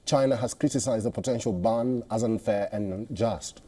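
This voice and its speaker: A man's voice: fairly low pitch, moderate pace, mellow. A man working as a news anchor, presenting scripted news and putting questions in a live interview.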